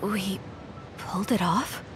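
Actress as a calm mid-pitched young heroine. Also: female voice, slow pace, over music, lightly acted. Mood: resolute, relieved. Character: calm, serious, resolute young woman soldier. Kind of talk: anime game dub acting.

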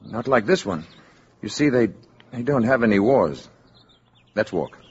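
An actor playing a calm, courteous alien posing as an ordinary man in a 1950s radio drama, speaking in a measured, assured way. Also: mid-range voice, husky. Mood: polite, curious. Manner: polite, measured, quietly assured. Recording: dull, noisy 1950s radio recording.

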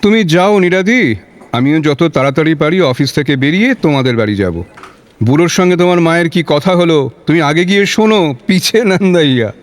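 A man's voice: on the high side, lively intonation, brisk, close to an everyday voice. An actor playing a chatty, polite young man in love in an audio drama. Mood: playful, teasing. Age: young adult. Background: music.

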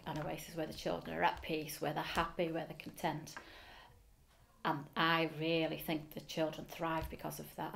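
Low-voiced Liverpool woman, measured. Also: creaky. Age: middle-aged. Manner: measured, earnest, with frequent ums. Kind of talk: oral history interview. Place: school office, indoors. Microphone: seated facing camera.

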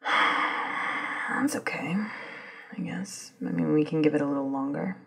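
An intense, impatient woman in a supernatural audio drama, acted by a woman. The voice is fairly low and heavily breathy, with an insistent conversational delivery. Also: unhurried, a bit bright, whispered, full character voice, low-quality recording. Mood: restless, impatient. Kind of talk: ASMR audio roleplay drama.

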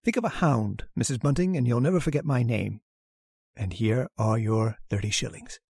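Woman voicing a stern pious man, very low husky voice, dry close sound, clipped and severe, radio drama. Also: moderate pace, mellow, no room sound, full character voice. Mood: cold, restrained. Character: stern pious male lodger, cold and strange. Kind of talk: old-time radio drama acting.

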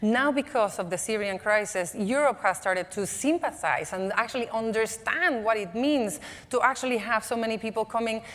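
A woman's voice: fairly high pitch, animated, normal speed, few pauses, fairly bright tone. A young woman speaking fluently and earnestly as a conference panelist. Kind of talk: panel discussion. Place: large conference hall, on stage. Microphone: lapel mic. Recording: long hall reverb.

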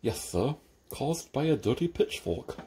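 A man voicing a calm, deferential, stitched-together manservant who answers dryly and patiently. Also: medium pitch, a little slow, a little hiss or hum, pitched above the performer's own voice. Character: unflappable, deferential stitched-together male servant. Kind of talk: audiobook character reading.

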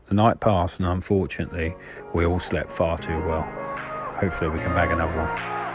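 A man narrating a fishing trip in a laid-back, unhurried voice-over. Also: sits low, fairly even intonation. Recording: voice-over with music underneath.

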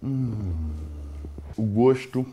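A young Brazilian man hosting a comic cooking vlog in his home kitchen, brash and jokey, jumping from loud hype to low mutters. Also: lively intonation, very slow, a little hiss or hum. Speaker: cooking show host.

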